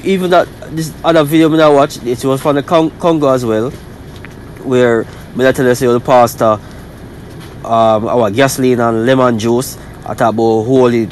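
Jamaican guy on a talk show, loose halting storytelling, mid pitch, bit of background noise. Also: poor audio. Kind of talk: casual discussion, storytelling.

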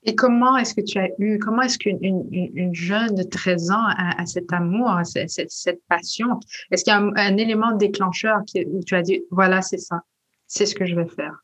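A woman's voice: medium pitch, moderate pace, fairly bright tone, breathy edge. A woman guest-hosting an interview, asking questions and often restarting her phrasing. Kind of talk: interview conversation.

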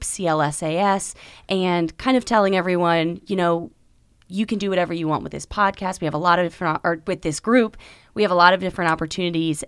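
Young female co-host with a clear, smooth, lowish voice, brisk and easy. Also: dry recording, clean studio sound. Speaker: podcast co-host. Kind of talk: casual podcast chat. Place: recording studio.

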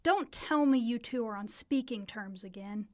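A woman voices a young girl, the pert little sister in a comic radio play. The voice is high, and the manner is nosy, teasing and a bit dramatic. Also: moderate pace, lightly acted.

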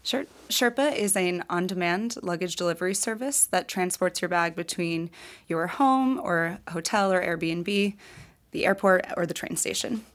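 Female founder, lower register, clear and articulate storyteller. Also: a little slow. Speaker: MBA student, start-up founder. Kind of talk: interview answers.

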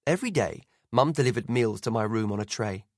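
Low-voiced man reading an audiobook. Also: animated, fairly quick, no room sound, studio quality. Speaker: audiobook narrator. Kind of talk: first-person audiobook narration.

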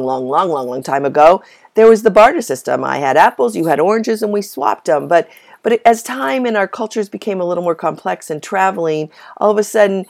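Female podcast host, lowish and gravelly, slightly hoarse, casual and explanatory delivery. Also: a little mellow. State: slightly hoarse from a minor bug. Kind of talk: casual solo podcast talk.

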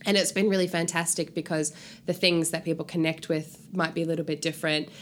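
Low-voiced woman chatting over background noise. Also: brisk. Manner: animated, informal, frequent "like" and swearing. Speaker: guest author. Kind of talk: casual interview chat.

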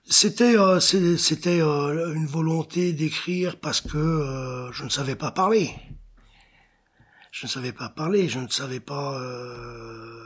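A man's voice: on the high side, slow pace, a bit bright, husky. A middle-aged man answering interview questions as an artist, searching for words and often repeating phrases. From born in Senegal, childhood in Africa. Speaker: artist, engraver and painter being interviewed.

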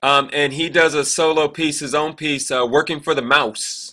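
A man with a bright voice pitched a little high co-hosts a podcast. He chats casually, wandering through his remarks in an anecdotal way. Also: steady tone, moderate pace. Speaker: podcast co-host. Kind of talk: casual chat.

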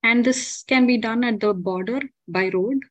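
A woman's voice: a little high, moderate pace, no echo. A woman from India calling in, asking questions in a conversational way. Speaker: caller. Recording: dull, dry call audio.

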